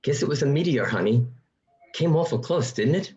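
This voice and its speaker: An actor playing a puzzled, level-headed suburban husband in a radio play. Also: male voice, mid-range voice, lively intonation, moderate pace, darkish tone, close to an everyday voice. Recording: slightly muffled home recording.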